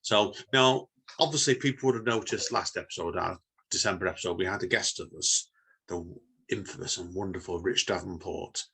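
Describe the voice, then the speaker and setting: A man's voice: deep voice, brisk, creaky, bright, forceful tone, husky, low-quality recording. A man with a Manchester-area Northern English accent, chatting as a podcast co-host in a rambling way full of tag questions. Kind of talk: casual podcast chat.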